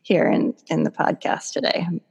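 A woman's voice: normal speed, darkish tone. A woman co-hosting a podcast, asking guests friendly questions and laughing along in casual chat. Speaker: podcast co-host.

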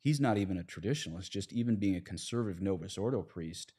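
A man's voice: low-pitched, lively intonation, fast talker, dark tone, slightly rough. A man, a priest, speaking as a podcast guest, earnestly explaining religious ideas in conversational, plain analogies.

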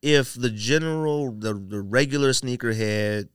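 A man's voice: mid-range voice, unhurried, clear, resonant tone, no echo, studio quality. Opinionated American man chatting on a podcast. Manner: opinionated, slangy, conversational storyteller. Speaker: podcast host. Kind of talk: casual panel chat about sneakers. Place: podcast studio.

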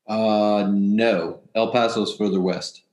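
A middle-aged pastor talks slowly on a podcast, pausing often and reaching for fillers. His voice comes through with a roomy echo. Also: lowish voice, fairly even intonation, a little mellow. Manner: halting, many fillers. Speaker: pastor and club chaplain. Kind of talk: casual podcast chat.

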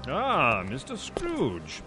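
A man's voice: mid-range voice, huge pitch swings, very slow, music in the background, full character voice. An actor playing a genial businessman in a radio drama. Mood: amused. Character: genial English businessman at the exchange. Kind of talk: family radio drama acting.

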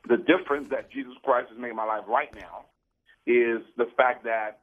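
A man's voice: mid-range voice, steady tone, moderate pace. Guy from cameroon calling in on a phone line, earnest, preachy, keeps repeating words. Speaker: guest, business program founder. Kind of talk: radio interview talk.

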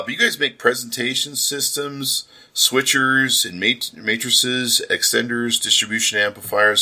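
A man's voice: on the low side, bright, forceful tone. A man hosting a casual promotional interview as a podcaster, talking with slangy, gushing enthusiasm.

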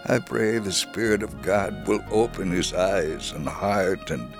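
A man reading a first-person faith story aloud as scripted narration, in a measured, solemn manner. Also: low-pitched, gravelly, music in the background.

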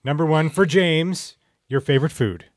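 A man's voice: on the high side, moderate pace, dry recording. Playful man hosting a podcast quiz, dry sound. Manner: playful, jokey. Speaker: podcast host running a quiz. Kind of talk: casual comedic banter with guests.

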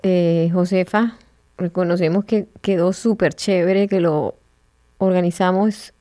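A woman hosting a community radio interview, asking her questions in an easy, unhurried way and stretching out her words for emphasis. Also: fairly low pitch, fairly even intonation, mellow, dry recording, studio quality. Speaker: radio show host.

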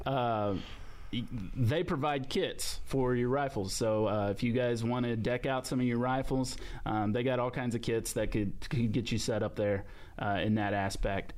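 A man's voice: medium pitch, darkish tone. A man hosting a podcast, talking loosely and joking, with plenty of fillers. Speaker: podcast host. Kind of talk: casual chat.

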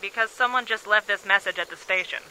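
An actress playing a sardonic, dryly teasing radio station colleague in an audio drama. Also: female voice, medium pitch, fast talker, bright, forceful tone, slight background noise, low-quality recording.

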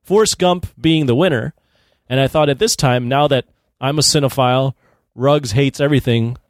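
A man's voice: mid-range voice, moderate pace, no room sound, studio quality. A man in his thirties co-hosting a podcast, talking casually about films. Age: 30s. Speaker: podcast co-host. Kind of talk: casual film discussion.

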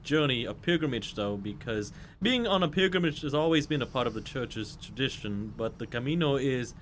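A man's voice: mid-range voice, animated, a bit bright, slight background noise. A man, a priest hosting a podcast in playful, teasing casual chat. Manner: playful, teasing, quick to laugh.

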